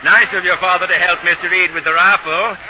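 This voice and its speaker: A man's voice: high voice, fairly even intonation, a little fast, natural voice. An actor playing a sly, suspicious village man with a needling, oily manner, in 1940s radio style. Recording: dull, noisy old radio recording.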